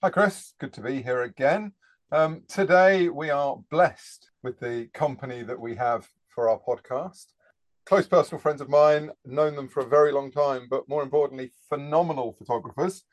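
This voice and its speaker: Jokey male podcast co-host, higher voice. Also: darkish tone. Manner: jokey, enthusiastic introducer. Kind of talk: casual podcast chat.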